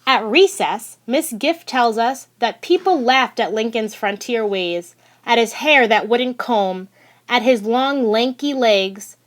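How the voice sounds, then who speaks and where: A woman narrating a children's picture book aloud in a deliberate, expressive storytelling manner. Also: fairly high pitch. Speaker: audiobook reader.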